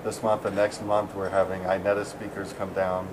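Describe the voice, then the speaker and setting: Low-pitched man, chatty, rambling, filler-heavy, noisy conference floor. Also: monotone, moderate pace. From San Diego, USA. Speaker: interviewee, software engineer. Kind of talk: casual conference interview. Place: busy conference venue.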